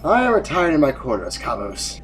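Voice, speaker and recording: An actor playing a weary, commanding general in an audio drama, with an authoritative, brooding way of speaking. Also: male voice, on the high side, wildly swooping pitch, fairly quick, soft background music. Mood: stern. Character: weary, commanding male general facing a losing war.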